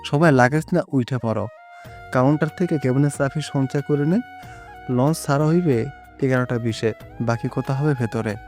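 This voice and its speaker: A man's voice: medium pitch, moderate pace, a little mellow, soft background music, lightly acted. An actor playing an exasperated, casual grown son in his thirties with a Bangladeshi Bengali dialect, in an audio story. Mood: announcing.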